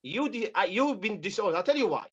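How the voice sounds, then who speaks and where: Fast combative man of Yemeni origin. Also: sits high, sing-song, slightly rough, muffled recording. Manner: combative, interrupting, calls others "bro". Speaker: debater. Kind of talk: heated online debate.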